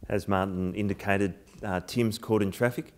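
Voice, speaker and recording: A man's voice: fairly low pitch, fairly even intonation, moderate pace, darkish tone. A man, chairing a conference and introducing the next speaker with many fillers. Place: large lecture theatre.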